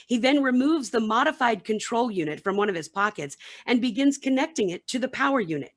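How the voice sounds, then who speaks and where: A woman's voice: a little high, animated, fairly quick. A woman reading scripted narration for a radio play, with some background noise.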